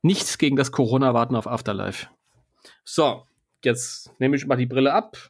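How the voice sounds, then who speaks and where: A man's voice: medium pitch, moderate pace, slightly rough, dry recording, studio quality. A man with a German accent, co-hosting a podcast, reading a story and bantering with teasing asides. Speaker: podcast co-host reading a film novel aloud.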